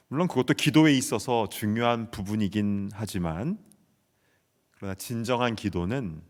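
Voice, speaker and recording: Man, 40s, from South Korea, pastor, sermon, earnest storyteller, lowish voice, long church reverb. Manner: earnest storytelling, shouting out quoted soldier replies. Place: church sanctuary.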